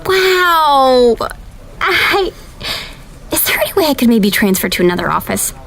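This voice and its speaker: A woman's voice: high voice, very animated, unhurried, gravelly, a bit bright. A woman acting an anxious, self-critical surveillance analyst in an audio drama. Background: music.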